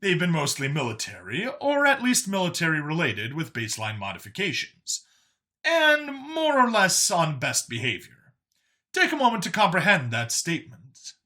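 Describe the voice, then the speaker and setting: A man narrates scripted science fiction with a bright, forward voice that sits a little high. His pitch swings widely from phrase to phrase, while the delivery stays wry and expository, like an official briefing. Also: wildly swooping pitch, moderate pace. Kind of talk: scripted dramatic story narration as an alien official giving a briefing.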